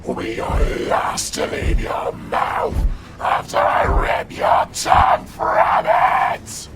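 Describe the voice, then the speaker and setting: A man's voice: takes it slowly, a loud crowd, close to an everyday voice. A man voicing a menacing, wrathful gargoyle chieftain in a fantasy audio drama, with a growling monster voice and bombastic, threatening archaic speech. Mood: scornful fury. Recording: loud music behind the voice. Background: loud music.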